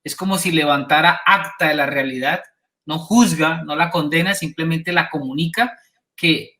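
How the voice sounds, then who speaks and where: A man's voice: a little high, steady tone, moderate pace, pushed and bright. A man hosting a conversation, speaking with appreciation and reading passages aloud. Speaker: host. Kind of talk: hosted conversation.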